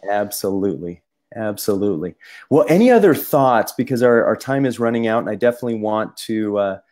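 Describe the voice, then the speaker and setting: Low-voiced male co-host, casual and halting, full of fillers and restarts. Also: expressive, a little mellow. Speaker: podcast co-host. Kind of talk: casual discussion.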